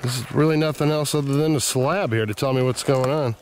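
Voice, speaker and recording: A man narrating casually as he explores an old desert site outdoors, thinking aloud with pauses, recorded on a camera mic. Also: mid-range voice, a little hiss or hum. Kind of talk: casual narration while walking.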